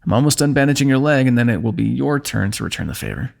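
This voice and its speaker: A man playing a weary, wry fantasy warrior in an ASMR roleplay, speaking in measured, formal phrases with dry teasing. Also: mid-range voice, animated, gravelly. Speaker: ASMR voice actor. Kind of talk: ASMR fantasy roleplay, one-sided dialogue.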